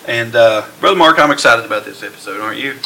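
A man's voice: medium pitch, expressive, gravelly, sharp tone, slight background noise. A man hosting a podcast, chatting casually with guests and using many fillers. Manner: friendly, halting with fillers. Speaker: podcast host. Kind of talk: casual interview chat.

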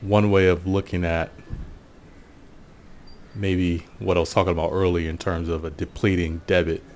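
An American man giving a casual, reflective solo monologue in an unhurried, musing way, often pausing mid-sentence. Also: low-pitched, level tone, darkish tone, slight background noise. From Portland, USA. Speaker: podcast host.

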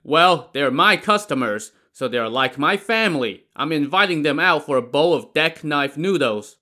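A gruff river boatman, put on by a male storyteller, sneers and taunts with a loud, pushed-up voice that swoops from line to line. He sounds cocky and cruel, a smuggler sure he has the upper hand. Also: on the high side, expressive, normal speed, voice pushed up. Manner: mocking, threatening.

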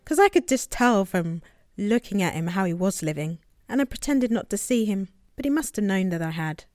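A woman's voice: medium pitch, very animated, moderate pace, a little mellow, no echo, clean studio sound, natural voice. A woman playing a guilt-ridden, troubled sister in an audio drama monologue, confessional and self-justifying. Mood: guilty, defensive.

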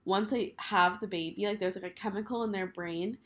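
A woman in her twenties hosting her own podcast, talking casually with lots of fillers while hoarse from a cold. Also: mid-range voice, brisk. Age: 20s. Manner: chatty, filler-heavy, upbeat. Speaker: podcast host. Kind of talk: casual solo podcast chat.

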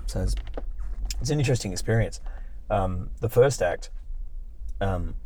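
Relaxed low-voiced man, casual chat. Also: unhurried, a little hiss or hum, low-quality recording. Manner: relaxed, brief agreeing replies. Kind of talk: casual conversation.

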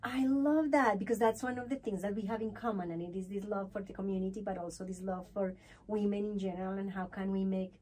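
A woman's voice: medium pitch, steady tone, quick pace, mellow. A woman hosting a conversational podcast interview, effusive and encouraging, switching between English and Spanish. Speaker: podcast host interviewing a guest.

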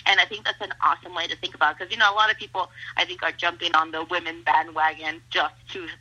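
Spanish-native lady wrestler, super bright kinda raspy voice, gushy and excited, says like a lot. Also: fairly low pitch, fast, highs cut off. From Mexico. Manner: upbeat, gushing, heavy use of "like" and "awesome". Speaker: professional wrestler. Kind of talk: casual interview.

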